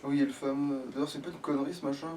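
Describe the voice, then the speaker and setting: A man's voice: mid-range voice, flat delivery, very fast, darkish tone. A man chatting casually and crudely while he plays a video game. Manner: colloquial, crude slang. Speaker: player in a let's play. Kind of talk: casual gaming chat while playing. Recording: distant mic, clearly noisy background.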